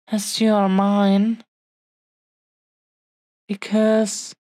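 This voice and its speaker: A woman playing a tender, possessive dragon woman in love in a romantic audio roleplay, with a heartfelt, halting, earnest delivery. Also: mid-range voice, a little mellow. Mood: adoring, possessive. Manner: heartfelt, halting, earnest pauses between short phrases. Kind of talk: romantic audio roleplay, intimate scripted monologue.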